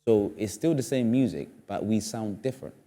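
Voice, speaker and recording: A man's voice: lowish voice, moderate pace, dark tone. A man speaking as a studio guest on a discussion show, earnest and emphatic, often repeating phrases for effect. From Ghana. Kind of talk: panel discussion.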